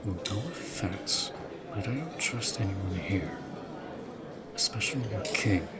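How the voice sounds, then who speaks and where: A man playing a protective, courteous orc general in a fantasy audio roleplay, speaking in a measured, formal, earnest way. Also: lowish voice, expressive, a loud crowd. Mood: indignant, protective.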